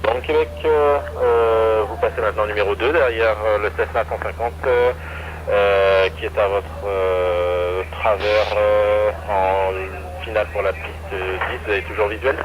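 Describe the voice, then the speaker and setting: A male air traffic controller with a fairly low voice speaks in halting radio instructions. The transmission comes through an aviation radio over clear engine and cockpit noise. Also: steady tone. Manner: hesitant, halting phrasing. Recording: noisy aviation radio with aircraft drone.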